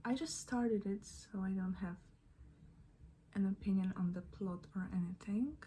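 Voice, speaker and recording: A young woman who is not a native English speaker, chatting in a soft, often half-whispered, rambling way as she does her makeup at home in front of a mirror. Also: mid-range voice, a little slow, a little hiss or hum. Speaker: beauty and book vlogger. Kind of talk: get-ready-with-me chat.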